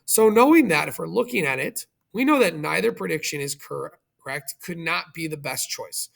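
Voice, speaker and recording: A male teacher with a slightly high voice and a noticeable creak. He walks viewers through a review question step by step, addressing them directly as in a recorded lesson. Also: expressive, normal speed, a bit bright.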